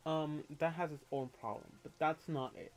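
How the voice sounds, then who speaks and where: A young man with a Puerto Rican accent, chatting and ranting on a livestream in a candid, opinionated way. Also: fairly high pitch. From Puerto Rico. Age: young adult. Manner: candid, opinionated, quick to rant, with casual swearing. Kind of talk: casual livestream chat and rant.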